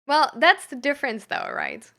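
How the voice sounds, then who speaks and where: A woman chatting casually like a livestream host reading and answering messages, halting and giggly, with lots of "like" as filler. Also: high voice, huge pitch swings, gravelly, sharp tone.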